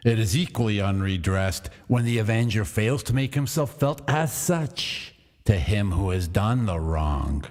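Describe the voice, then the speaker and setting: A man narrating a classic gothic tale in a measured, deliberate dramatic reading. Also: rumbling low, darkish tone, big-room sound.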